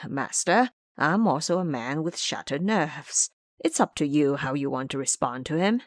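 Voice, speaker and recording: A woman's voice: sits low, expressive, normal speed, dry recording, studio quality. A woman voicing a frightened male temple laborer in an audio drama, anxious and protesting. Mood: nervous, resigned.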